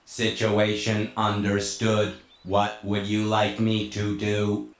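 An actor playing a huge, stern, obedient combat robot in a video game, with a clipped mechanical delivery and a metallic filter. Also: male voice, lowish voice, monotone, slow, roomy sound. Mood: emotionless. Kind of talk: video game cutscene acting.

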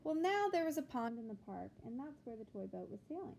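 A woman reading a picture book aloud to children like a teacher, with expressive, deliberate phrasing and questions to the listeners. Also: a little high, creaky. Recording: phone-like thin sound with background noise.

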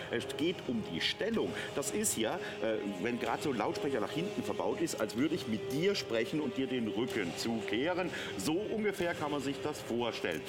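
A middle-aged man presenting products on a shopping channel in an unscripted, conversational, explanatory way. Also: mid-range voice. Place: TV shopping studio set, indoors.

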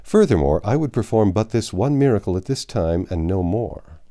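An older man narrates a classic novel aloud. His voice is low and mellow, with lively, swooping intonation. He phrases it like a storyteller, pausing for dramatic effect. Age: 50s or 60s. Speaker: audiobook narrator. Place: small home library, indoors.

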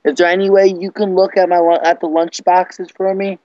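Young man putting on a high-voiced pleading little schoolboy. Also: flat delivery, fairly quick, dark tone, dry recording, close to an everyday voice. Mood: polite, hesitant. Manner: pleading, hesitant, childlike phrasing. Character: whiny polite young schoolboy wanting a lunchbox. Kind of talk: prank phone call. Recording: slightly muffled computer call audio.

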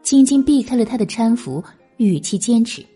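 A woman's voice: a little high, expressive, moderate pace, warm tone, breathy edge, faint music behind. A woman narrating an audiobook novel in a scripted, dramatic storytelling style.

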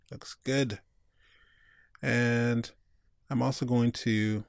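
A man's voice: fairly low pitch, gravelly, dry recording, studio quality. A man giving a casual screencast tutorial, informally talking through each step and thinking aloud. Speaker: tutorial instructor.

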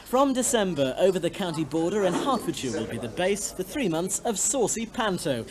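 British woman doing a news voice-over, really varied pitch, people chattering behind. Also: very animated, moderate pace, a crowd in the background. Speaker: news reporter. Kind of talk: scripted news report voice-over.

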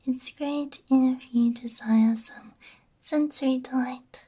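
A woman whispering gently and soothingly in an ASMR roleplay as a repair technician, breathy and close to the microphone. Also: high voice, steady tone. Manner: gentle, soothing, unhurried, soft hums between phrases. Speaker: ASMR VTuber playing a robot repair technician. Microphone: close binaural ASMR microphone.

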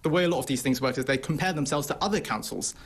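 A young man, a think-tank researcher on a video call from an office, making brisk, assertive points. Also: on the high side, husky. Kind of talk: remote interview commentary. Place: office room.

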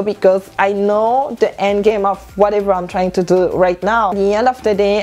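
A young woman whose first language is French, talking casually to camera in a room and gesturing as she speaks. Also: medium pitch. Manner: animated, gesturing with hands while standing. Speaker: vlogger. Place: indoor room with clothing rack. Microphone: lapel mic.

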